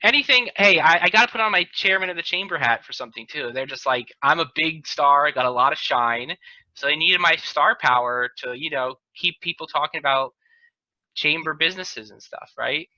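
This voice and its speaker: American male co-host, fairly high and very bright voice, loose conversational banter over a video call. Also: brisk, no room sound. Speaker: podcast co-host and local chamber of commerce chairman. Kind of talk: casual group banter. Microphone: video call microphone. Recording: dull, band-limited video call audio.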